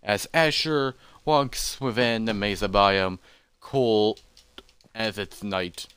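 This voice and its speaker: A man telling a fantasy story as its narrator, in halting, deliberate phrases with dramatic pauses. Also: fairly low pitch, fairly bright tone, clean tone, no echo.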